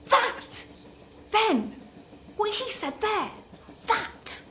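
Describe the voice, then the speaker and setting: An actress playing an anxious, wry woman writer on a train in a radio play, halting and agitated in naturalistic dialogue. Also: very high voice, very animated, fairly bright tone, a little hiss or hum, full character voice. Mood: agitated insistence. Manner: agitated, halting, self-deprecating.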